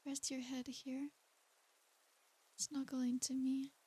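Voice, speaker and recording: A woman playing a tender, doting, centuries-old succubus in a soothing, soft and breathy ASMR roleplay. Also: high voice, completely flat delivery, slow pace, full character voice. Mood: affectionate, coaxing. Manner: soothing, coaxing, hushed, with pet names.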